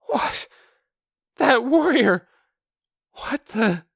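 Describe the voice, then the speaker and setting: Man acting a raised-pitch, awestruck town guard. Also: expressive, drawn-out words, creaky, fairly bright tone, husky, dry recording, studio quality, full character voice. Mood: shocked. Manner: incredulous, breathless questions and exclamations.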